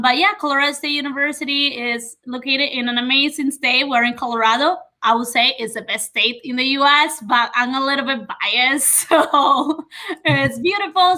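A young woman from Venezuela speaks on a video call from her living room. Her voice is bright and sits a little high. She talks to viewers directly in an upbeat, informative way. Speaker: university representative. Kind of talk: friendly webinar presentation.

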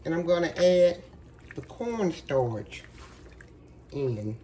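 A woman's voice: deep voice, sing-song, slow pace, dark tone, slight background noise. A woman hosting a home cooking video, chatty and folksy, her voice worn from a cold. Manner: chatty, folksy, with many fillers. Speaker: cooking show host. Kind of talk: casual cooking demonstration. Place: home kitchen.

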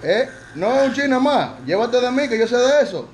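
A man's voice: very high voice, sing-song, moderate pace, background noise. A young man with a Dominican Spanish accent, joking and bragging as he plays barber in a vlog, teasing the others in a crowded barbershop. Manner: brash, boastful, teasing, repeats catchphrases. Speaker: vlog host playing barber. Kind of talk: joking banter while cutting hair. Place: small busy barbershop indoors. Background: chatter of several men.

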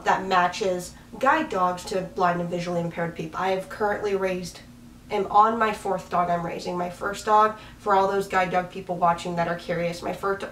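A woman's voice: medium pitch, a bit bright, a little hiss or hum. A young woman in her twenties from Washington State, chatting casually to the camera in her bedroom and laughing at herself as she rambles. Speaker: vlogger. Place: small bedroom, indoors, on a bed with a dog. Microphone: camera mic from a few feet away. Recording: roomy, slightly distant camera audio.

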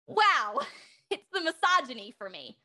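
Woman acting a high, bright, spoiled young starlet. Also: very animated, measured pace, no room sound, clean studio sound, full character voice. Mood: indignant. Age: young adult. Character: spoiled, indignant young actress playing a secretive socialite house guest. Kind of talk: audio drama acting.

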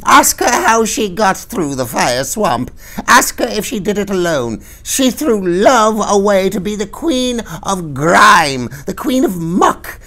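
A man voicing an ancient, bent old woman who hurls a shrill, shouting tirade of accusations. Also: very animated, slow, heavily acted. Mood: furious.

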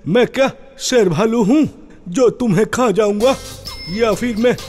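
A man's voice: extremely high pitch, unhurried, darkish tone, faint music behind. A man voicing an irritable, blustering old village cleric in a cartoon, grumbling indignantly. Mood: irritated. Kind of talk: cartoon voice acting.

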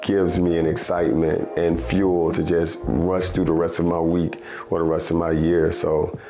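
Deep-voiced American man over music. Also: level tone, normal speed, warm tone. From United States. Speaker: children's storytime reader and literacy advocate. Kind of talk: documentary interview. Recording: music under the voice.

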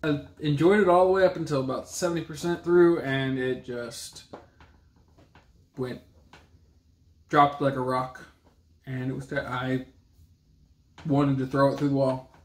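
A man's voice: medium pitch, steady tone, unhurried, pauses often. A man in his thirties talking casually and plainly about books as a vlog co-host, recorded at home on a camera mic. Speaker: book reviewer and co-host of a book vlog. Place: small indoor room at home with a bookcase. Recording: roomy, echoey home camera sound.

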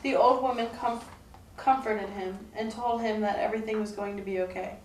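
A woman's voice: mid-range voice, steady tone, moderate pace. A young woman reading scripted storytelling narration for a puppet show. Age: young adult. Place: indoor room, puppet show recording. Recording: echoey room, distant mic, faint noise.

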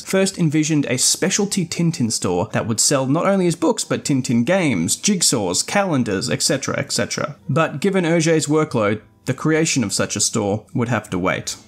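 A man narrates a scripted podcast review at a middle pitch. His delivery is measured and articulate, with formal wording throughout, like an essay read aloud. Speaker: podcast host.

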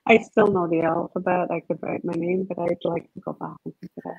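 Middle-aged woman, Egyptian accent, husky, gravelly, dry, lo-fi video call. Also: fairly low pitch, flat delivery, a little fast, dry recording. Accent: Egyptian Arabic. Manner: hesitant, restarts phrases. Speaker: guest. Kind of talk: casual video-call interview. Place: home office. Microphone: webcam on a video call. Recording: dull, lo-fi video-call audio.

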